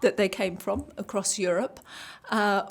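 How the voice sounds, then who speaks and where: A British woman talks through her views as chair of a judging panel. She is reflective and unhurried and often circles back to restart a phrase, in a plain conversational interview. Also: medium pitch, gravelly. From Britain. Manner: thoughtful, many restarts and fillers while building points.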